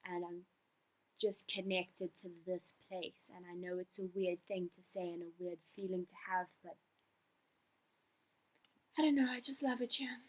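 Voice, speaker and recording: A woman's voice: on the low side, fairly even intonation, a little slow, pauses often. A South African woman in her late teens, speaking earnestly and casually as she answers questions in an amateur vlog. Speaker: fan account owner answering viewer questions. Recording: poor amateur sound.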